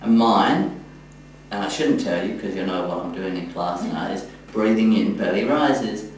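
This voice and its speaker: Male yoga trainer, encouraging and halting, heard at a distance in a very reverberant room. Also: sits low, a little mellow. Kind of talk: spoken feedback in a group class. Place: echoey indoor room, from a distance. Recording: echoey room, distant mic, faint noise.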